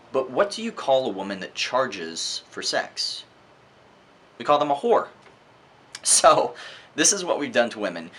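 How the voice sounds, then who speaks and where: A man's voice: mid-range voice, sharp tone, husky. A man giving an informal, opinionated book review monologue in a conversational, emphatic way, repeating words for effect.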